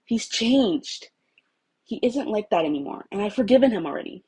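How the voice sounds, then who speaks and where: A woman's voice: mid-range voice, lively intonation, moderate pace, dark tone, husky, pitched above the performer's own voice. A woman voicing an earnest, anxious, forgiving teenage schoolboy in a story, speaking with nervous emphasis. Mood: pleading.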